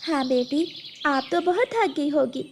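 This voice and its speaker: A woman's voice: sits high, expressive, unhurried, background noise. A woman voicing a caring, reassuring mother bird in a children's cartoon. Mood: warm.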